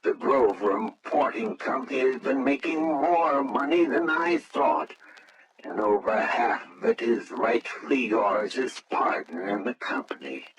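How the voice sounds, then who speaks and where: An actor playing a contrite, hesitant older businessman with a formal, halting delivery in an old-time radio drama. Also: mid-range voice, expressive, over a noisy crowd, lightly acted, poor audio.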